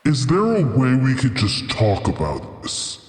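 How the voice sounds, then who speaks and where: A man voicing a huge, polite dragon turtle who speaks in a measured, deadpan way and is reluctant to fight, in a tabletop game. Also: mid-range voice, voice pushed down. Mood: polite, reasoning. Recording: echoey hall-like reverb on voice.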